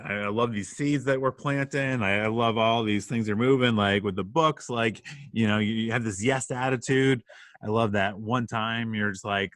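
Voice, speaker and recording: Man, bright forceful voice, lowish pitch, enthusiastic, jokey, casual co-host. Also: dry recording. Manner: enthusiastic, jokey, peppers talk with "like". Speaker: podcast co-host, teacher. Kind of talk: casual banter.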